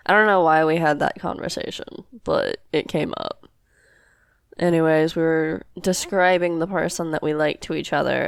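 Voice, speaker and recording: A woman's voice: on the low side, vocal fry, no room sound, studio quality. A woman acting as a laid-back, affectionate young girlfriend in a casual audio roleplay, talking in a breezy way.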